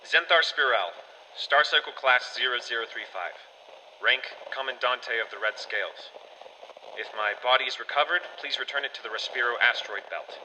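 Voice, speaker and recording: A formal, terse commander in an audio drama. His voice is very sharp and forceful, brisk and official, in a reverberant space. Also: medium pitch, a little hiss or hum.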